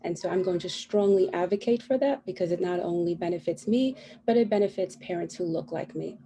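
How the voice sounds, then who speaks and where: A woman's voice: lowish voice, brisk, warm tone. A woman running for a school council, speaking self-assured and lightly joking on a video call, with her children audible behind her. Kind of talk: candidate forum answer over video call. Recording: video call with faint kid noise. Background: children fighting.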